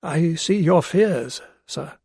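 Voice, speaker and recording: A man voicing a solemn, slow-speaking doctor. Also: fairly high pitch, expressive, a little mellow, no room sound, studio quality, voice pushed up. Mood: grave. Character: solemn middle-aged male doctor, grave and measured.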